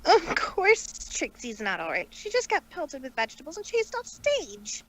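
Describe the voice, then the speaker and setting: A woman's voice: high-pitched, wildly swooping pitch, moderate pace, low-quality recording. A woman voicing a proud but fragile female show pony in a theatrical, self-pitying cartoon style. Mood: hurt, upset. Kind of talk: cartoon-style character acting for a story reading.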